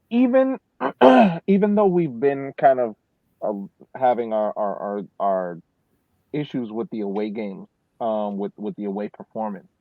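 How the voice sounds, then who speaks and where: Over a narrow, phone-like connection with very little room sound, a man of medium pitch gives casual sports analysis. He argues emphatically, restating his points as he builds them. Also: dry recording. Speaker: podcast panelist.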